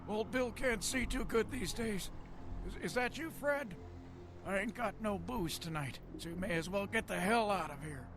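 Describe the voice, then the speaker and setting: Creaky, high-voiced old drunk vagrant. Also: male voice, expressive, normal speed, music in the background. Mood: wary. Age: older. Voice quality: creaky, gravelly. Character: wary old homeless drunk man, wheedling. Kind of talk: video game character acting.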